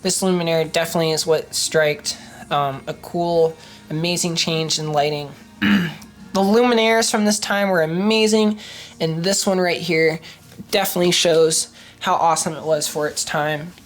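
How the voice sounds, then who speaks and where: A woman's voice: on the low side, fairly even intonation, moderate pace, faint music behind. A young woman with a stuffy, congested voice from a cold, chatting enthusiastically with lots of ums and restarts as she shows off a collected item. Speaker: streetlight collector and vlogger. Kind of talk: casual show-and-tell vlog.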